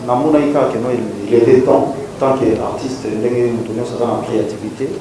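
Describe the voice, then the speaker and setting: A man's voice: medium pitch, steady tone, moderate pace. A man from Congo with a Congolese French accent, answering questions at a press conference and mixing in Lingala. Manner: mixes French with Lingala, emphatic. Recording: echoey hall, far from mic, crowd.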